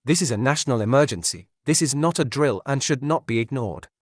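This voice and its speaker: A man playing a grave emergency broadcast announcer, reading a scripted bulletin in a formal, measured way. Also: mid-range voice, expressive, no room sound, clean studio sound, lightly acted.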